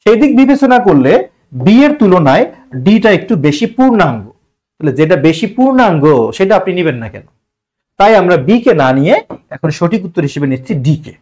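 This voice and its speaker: A man's voice: high-pitched, sing-song, brisk, darkish tone. A man with a Bangladeshi accent teaching an exam-prep lesson in a colloquial way, asking rhetorical questions and repeating key phrases. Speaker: online English grammar teacher.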